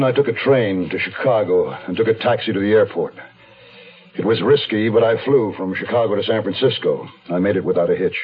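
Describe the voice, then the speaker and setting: A man's voice: on the low side, slightly rough. An actor playing a hunted man, narrating his story tersely in a 1970s radio thriller. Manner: terse, hard-boiled storytelling. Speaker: narrator and lead character. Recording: dull 1970s radio recording, music behind.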